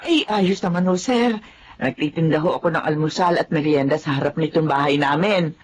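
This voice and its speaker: A woman's voice: sits low, brisk, slight background noise, lightly acted. An actress playing a humble middle-aged mother and loyal former servant, speaking earnestly in a radio drama. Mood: polite. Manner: earnest, aggrieved, pleading.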